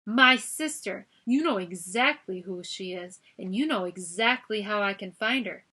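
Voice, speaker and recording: A woman's voice: medium pitch, lively intonation, moderate pace, bright, forceful tone. A woman voicing a brave, hot-tempered boy scout who speaks with urgency. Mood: furious. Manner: urgent, demanding.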